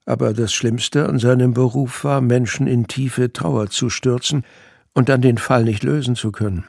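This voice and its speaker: Husky male story narrator, close and dry. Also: mid-range voice, fairly even intonation, normal speed, a little mellow, no echo. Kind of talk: scripted fiction narration for audio drama.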